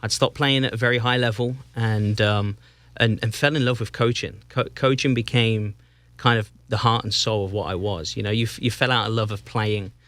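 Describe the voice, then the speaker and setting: English man, Northampton accent, relaxed guest on a podcast, halting delivery, dry close mic. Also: fairly low pitch, no echo. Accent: English, Northampton area. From England. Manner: easygoing, halting with restarts and fillers. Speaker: guest, soccer club president. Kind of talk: casual interview chat.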